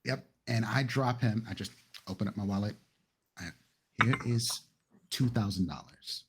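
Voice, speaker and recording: A man's voice: fairly low pitch, normal speed, very noisy. A man playing in a tabletop roleplaying game, casually describing his character's actions and speaking his lines.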